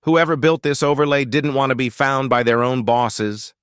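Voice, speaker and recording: A man's voice: medium pitch, flat delivery, moderate pace, fairly bright tone, smooth, dry recording, clean studio sound, pitched above the performer's own voice, lightly acted. A man voicing a curt, urgent female engineer in a science fiction audiobook. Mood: intent.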